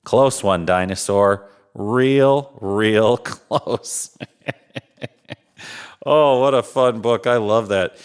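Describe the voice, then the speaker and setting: A man's voice: on the low side, very slow, clean tone. A man reading a picture book aloud to young children as a playful storytime host, talking to them like friends. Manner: playful, chuckling, addressing young listeners as friends.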